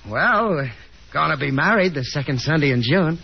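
An affable young man in a 1950s radio drama, speaks in a lively, slightly high voice. He is earnest and awkward, tripping over his words. The old broadcast sounds dull, with music behind him. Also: normal speed, gravelly, slight background noise. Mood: polite, uneasy. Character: affable young gentleman caller, awkwardly apologetic.

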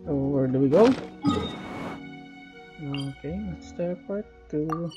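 A man's voice: fairly high pitch, slow. A man doing casual gameplay commentary as a game streamer, over game sound. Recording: voice under loud game music and effects.

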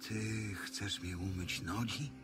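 A man's voice: sits low, slow pace. An actor playing an earnest, insistent male disciple in a dramatized audio Bible. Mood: protesting. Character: Simon Peter, earnest protesting male disciple. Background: music.

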